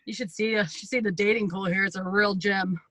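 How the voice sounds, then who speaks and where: A woman from Florida, a voice actor with a deep, gritty voice, chatting and joking as a guest. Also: fairly even intonation, quick pace, a bit bright. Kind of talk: casual self-introduction.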